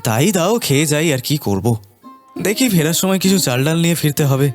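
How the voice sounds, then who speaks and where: A man voicing a worried, gentle village farmer in a cartoon, hesitant. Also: fairly high pitch, animated, a little fast, faint music behind, close to an everyday voice. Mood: resigned, gentle. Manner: anxious, hesitant, repeating words. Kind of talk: cartoon voice acting.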